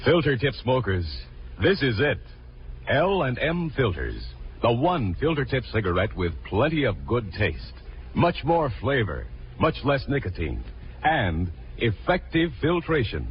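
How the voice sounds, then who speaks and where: An American man giving an emphatic scripted sales pitch as a 1950s radio commercial announcer. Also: sing-song, a little slow, music in the background. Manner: emphatic, selling. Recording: dull vintage radio recording, faint noise.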